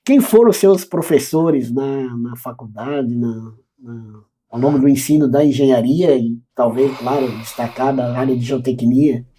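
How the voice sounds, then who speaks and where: A man's voice: medium pitch, normal speed, warm tone, slightly rough. A man with a Brazilian accent hosting a podcast interview, polite and asking questions, murmuring agreement while his guest talks. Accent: Brazilian Portuguese. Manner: polite, frequent affirming murmurs. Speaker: podcast host.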